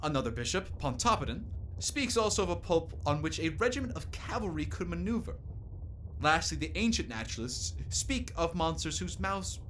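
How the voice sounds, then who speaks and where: An actor in a radio play playing a learned, courteous professor with an earnest, formal way of speaking. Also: male voice, a little high, big pitch swings, normal speed, fairly bright tone, slight background noise, low-quality recording. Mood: learned, explaining. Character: learned, curious, courteous male naturalist professor.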